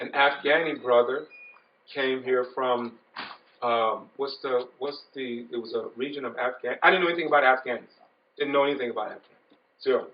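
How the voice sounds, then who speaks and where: A man's voice: medium pitch, fairly quick, slight background noise. A middle-aged man giving an earnest, repetitive informal talk to an audience of young people, growing emphatic. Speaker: speaker addressing young Muslims. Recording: echoey room, distant mic, dull sound.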